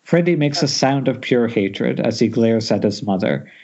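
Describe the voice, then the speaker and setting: A man's voice: mid-range voice, lively intonation, normal speed, a little mellow, slight background noise. A man reading screenplay stage directions as narrator at a table read, now and then stumbling over words. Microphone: Skype call. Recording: dull internet call.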